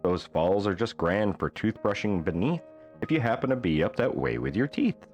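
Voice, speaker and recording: A man reading a rhyming children's bedtime book aloud as an expressive storyteller. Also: lowish voice, normal speed, soft background music.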